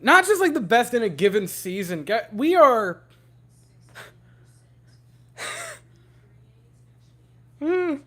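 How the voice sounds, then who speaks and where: A young man with a hoarse, worn-out voice, rambling giddily to his livestream chat from home. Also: extremely high pitch, big pitch swings, slow, long pauses, fairly bright tone. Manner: giddy, rambling, talking to chat. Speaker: streamer.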